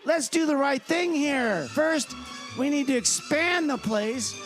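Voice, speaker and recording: Radio comedy role: pushy, cocksure male schemer, played by a man, strikingly high-pitched, over loud music. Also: lively intonation, takes it slowly, heavily acted. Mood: pushy, cajoling. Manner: bossy, cajoling, cocksure. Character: Dick, pushy, boisterous, over-eager schemer with big plans.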